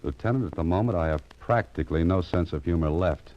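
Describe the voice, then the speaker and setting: Man playing hard-boiled radio detective, bottom-deep pitch, wry, cool-headed, sardonic, muffled old recording. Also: fast talker, close to an everyday voice. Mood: dry, questioning. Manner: sardonic, talks to himself in narrated asides. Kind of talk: 1940s radio acting. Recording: dull vintage radio recording, music behind.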